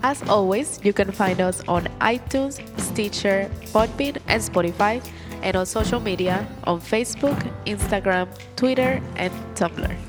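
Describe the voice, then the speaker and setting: A woman co-hosts a studio podcast, reading the show's plugs and dropping in short remarks. She speaks very slowly and deliberately at a middle pitch. Clear music plays behind her. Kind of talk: reading show plugs, brief interjections.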